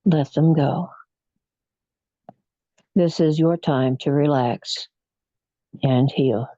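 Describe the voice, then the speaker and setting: A meditation leader speaks very slowly in a mellow, soothing tone. Phrases come in short pieces with frequent pauses, in a hypnotic relaxation style. The recording is dry and a little muffled. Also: mid-range voice, no echo. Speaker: guided imagery meditation leader.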